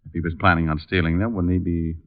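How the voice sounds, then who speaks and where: A cool private eye in a vintage radio mystery. His voice sits fairly low, and he talks in a breezy, wry, probing way. The sound is slightly dull, like old radio. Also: level tone, quick pace. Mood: thoughtful, probing. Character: cool, wisecracking male private detective. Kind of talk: old-time radio detective acting and narration. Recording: muffled vintage radio recording.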